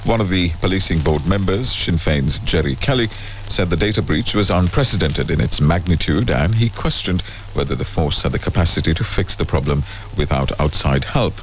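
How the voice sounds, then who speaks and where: A man presenting scripted radio news as the programme's newsreader, introducing reports. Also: extremely low pitch. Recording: muffled, lightly noisy shortwave radio relay.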